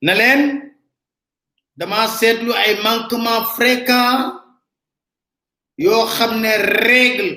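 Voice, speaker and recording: A man's voice: high voice, a bit bright, roomy sound. A man with a Senegalese accent giving emphatic, casual live political commentary straight to his viewers. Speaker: online commentator.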